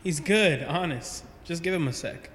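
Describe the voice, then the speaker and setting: An actor playing a theatrical, wisecracking lab scientist in an audio drama, grandiose and teasing. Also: male voice, on the high side, measured pace, a bit bright. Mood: teasing, flippant. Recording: echoey reverberant lab sound, faint noise.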